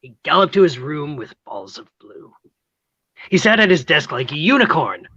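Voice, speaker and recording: A woman acting a nosy, motherly confidante hungry for romance, husky and theatrical in a comic play read. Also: low-pitched, big pitch swings, moderate pace, a bit bright, dry recording, heavily acted. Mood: dramatic, conspiratorial. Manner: theatrical, breathlessly prying. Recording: dull lo-fi, close dry sound.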